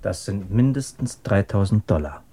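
A man's voice: lowish voice, expressive, normal speed, dark tone, husky. An actor playing a keen, methodical male police detective reporting to his superior in a German radio crime drama. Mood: alert.

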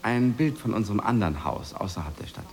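An actor playing a polite, awkward American husband in a radio drama, stammering and hesitant but eager to please. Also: male voice, lowish voice, animated, quick pace, darkish tone. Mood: hesitant, explaining.

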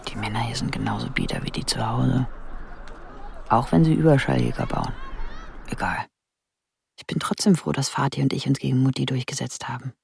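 An actress playing a wry, rebellious teenage daughter, confiding dryly and candidly in a radio drama monologue. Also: female voice, rumbling low, a little fast, creaky, pauses often, a little mellow, a little hiss or hum, exaggerated voice.

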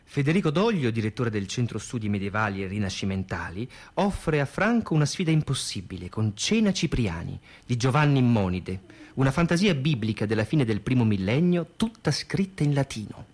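A man delivering scripted radio narration as a narrator looking back, in a formal, measured manner. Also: mid-range voice, sing-song, darkish tone. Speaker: narrator recalling a theatre's history.

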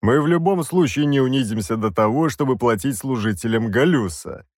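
A man's voice: mid-range voice, lively intonation, brisk, no room sound, clean studio sound, natural voice. A man voicing an irritable, haughty king who talks in an imperious, grumbling way.

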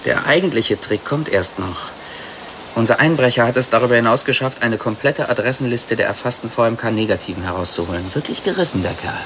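A male actor plays a clever, wry computer expert in a radio drama. His medium-pitched voice explains pedantically and a little smugly over clear background noise. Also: expressive, brisk, natural voice. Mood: pleased, cunning.

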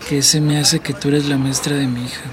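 High-pitched, cocky Mexican smuggler played by an actor. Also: male voice, little pitch movement, brisk, a little hiss or hum, close to an everyday voice. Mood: sizing up. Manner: brusque, slangy. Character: cocky, shady male people smuggler from the border. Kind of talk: radio play acting.

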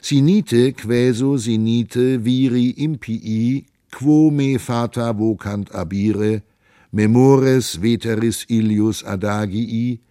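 A man voicing a ragged, clever wandering stranger in an audiobook reading, talking slowly and drawing out strange foreign phrases. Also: mid-range voice, warm tone, no room sound, studio quality, close to an everyday voice. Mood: pleading, grandiloquent. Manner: slow, drawn-out, babbling in foreign tongues.

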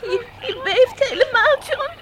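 Anxious, very high-voiced pioneer girl. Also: lively intonation, a little slow, fairly bright tone, heavily acted. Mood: anxious, tender. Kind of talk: radio drama acting. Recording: very noisy old radio drama recording.

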